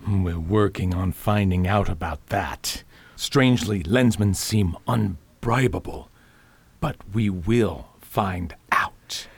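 A man playing a scheming, guarded crime boss in a science fiction radio drama, speaking in a measured, husky voice. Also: low-pitched, no room sound, exaggerated voice. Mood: coolly defensive. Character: scheming male crime boss, guardedly answering to his master.

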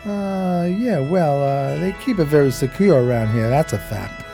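An actor playing a dim, streetwise, loyal homeless sidekick in an American radio play, with hesitant, slangy street talk. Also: male voice, a little high, expressive, normal speed, vocal fry. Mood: grumbling, evasive. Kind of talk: radio play dialogue and narration. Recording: music behind the voice.

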